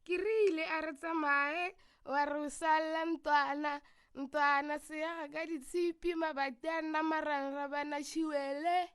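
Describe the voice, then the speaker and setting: A woman playing a high-voiced singing grandmother. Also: steady tone, normal speed, a bit bright. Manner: sung verses and anxious speech. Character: worried grandmother who sings to her granddaughter.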